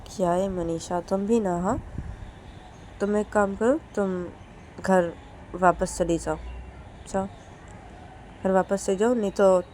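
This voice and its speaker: A woman's voice: medium pitch, steady tone, slow pace, long pauses, dark tone, slight background noise, natural voice. A woman voicing a middle-aged mother who scolds and teases her daughter, ending lines with prodding questions. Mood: scolding. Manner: nagging, tags questions with "haan?".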